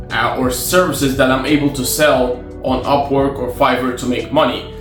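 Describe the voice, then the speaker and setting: Arabic-native man giving casual freelance advice. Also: mid-range voice. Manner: conversational, repeats and restarts phrases, gives direct advice. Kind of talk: casual talking-to-camera vlog. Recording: roomy echo with faint guitar music.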